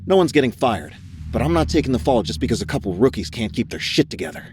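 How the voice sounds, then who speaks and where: An actor playing a gruff, fed-up older boss in an audio drama, stern, curt and sarcastic. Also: male voice, medium pitch, very animated, quick pace, noisy background, lightly acted. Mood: exasperated, scolding.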